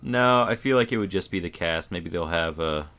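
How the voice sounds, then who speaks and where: A man's voice: deep voice, normal speed, fairly bright tone. A man co-hosting a casual panel chat, talking in an informal, tentative manner. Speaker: podcast co-host.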